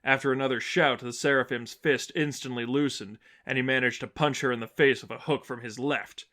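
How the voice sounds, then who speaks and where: A man narrates an action story from a script. His voice sits at an average male pitch, in the manner of an amateur audiobook reading. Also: fairly even intonation, brisk, sharp tone. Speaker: narrator reading fan fiction.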